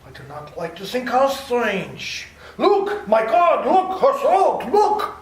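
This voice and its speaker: A man's voice: extremely high pitch, wildly swooping pitch, a little hiss or hum, full character voice. A man playing a worried old professor. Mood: grave, worried, then alarmed. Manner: halting, formal phrasing, bursts into alarm. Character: anxious elderly male professor and doctor. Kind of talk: live radio play acting. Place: library hall with live audience. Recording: roomy hall sound from far off.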